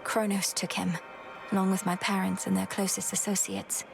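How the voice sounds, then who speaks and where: A composed young witch princess of the underworld, played by a woman, earnest and formal in her speech. Her voice sits at an ordinary middle pitch, with loud game music underneath. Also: little pitch movement, normal speed, vocal fry, natural voice.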